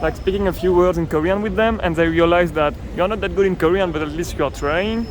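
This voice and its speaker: A man's voice: high-pitched, fast. A man interviewing a passerby, asking curious questions with many fillers. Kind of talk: street interview. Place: city street outdoors with traffic. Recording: loud street noise.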